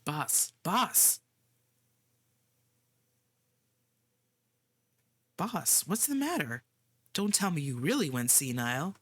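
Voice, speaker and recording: A streamer voicing an excitable, cheeky young sidekick with a teasing, incredulous manner. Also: unhurried. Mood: teasing concern. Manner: incredulous, teasing, swooping up high. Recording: loud game music behind.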